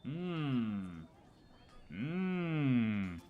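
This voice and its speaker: A man's voice: mid-range voice, big pitch swings, drawn-out words, narrow, tinny audio, faint music behind. A man chatting casually as a livestreamer, slangy and rambling, repeating phrases for emphasis as he speculates. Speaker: livestreamer reacting to game lore. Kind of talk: casual livestream chat, speculating about game story.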